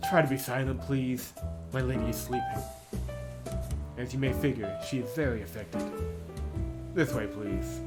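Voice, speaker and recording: A man's voice: medium pitch, fairly even intonation, normal speed, pauses often, over loud music, lightly acted. A man voicing an indignant, haughty female housekeeper with a scolding, formal, exasperated manner in a visual novel. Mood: stern.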